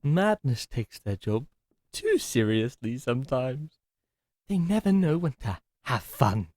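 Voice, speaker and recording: A man's voice: mid-range voice, sing-song, measured pace, a little mellow, dry recording. A man playing a chipper, theatrical male butler with a hidden menace in an audio roleplay drama, speaking formally.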